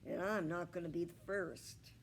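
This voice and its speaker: A woman's voice: on the low side, fairly even intonation, normal speed, a little hiss or hum, lightly acted. A woman voicing a grumpy, dignified small male pig in a children's storybook, grumbling and indignant. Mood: reluctant, determined. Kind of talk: children's storybook read-aloud.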